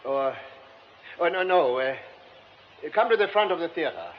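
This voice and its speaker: An actor playing a formal, insistent gentleman who speaks with hesitant stammers. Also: a little high, expressive, normal speed, lightly acted. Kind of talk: radio drama acting. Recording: dull old radio recording with hiss.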